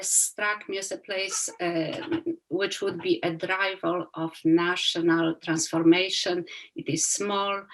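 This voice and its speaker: A woman with a Polish accent, an archivist giving a lecture over a video call, pausing often with uh and um. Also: mid-range voice, a bit bright, low-quality recording. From Poland. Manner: halting, many uh and um fillers. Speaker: museum archivist giving a public talk. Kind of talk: lecture with slides.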